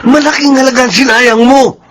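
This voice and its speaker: A scornful, greedy Filipino street friend in a radio drama. He is quick to temper and scolds in a high-pitched voice, with faint noise behind him. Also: steady tone, fairly bright tone. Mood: scornful, scolding. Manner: scolding, contemptuous.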